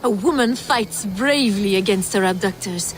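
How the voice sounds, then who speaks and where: A woman's voice: medium pitch, normal speed, slight background noise, lightly acted, low-quality recording. An actress playing a spirited, theatrical noble lady in a video game, with a playful and refined manner. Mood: thrilled, playful.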